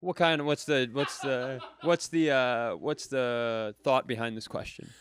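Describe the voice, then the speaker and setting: A man hosting a comedy podcast, telling a story in a casual, animated way and slipping into other people's voices. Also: medium pitch, a little slow, a little hiss or hum. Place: live venue, close mic.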